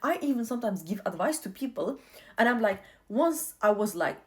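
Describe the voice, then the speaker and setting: A woman's voice: on the high side, very animated, moderate pace. A young woman whose first language is not English, talking casually to camera and giving earnest life advice, often repeating herself for emphasis.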